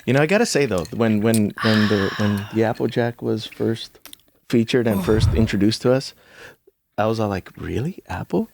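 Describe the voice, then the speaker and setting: A man hosting a chat show in a relaxed, jokey way. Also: on the low side, moderate pace, creaky, slight background noise. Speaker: host. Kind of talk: show host intro. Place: recording studio.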